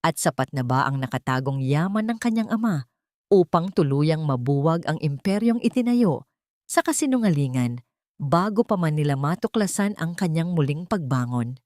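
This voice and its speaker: A woman narrates a dramatic audiobook story at an unhurried pace. Her voice is fairly low. The recording is close and dry. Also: expressive, a little mellow, dry recording.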